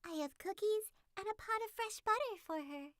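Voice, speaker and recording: Woman doing a sweet, squeaky little girl. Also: expressive, moderate pace, a bit bright, dry recording, studio quality. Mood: innocent. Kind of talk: storybook reading.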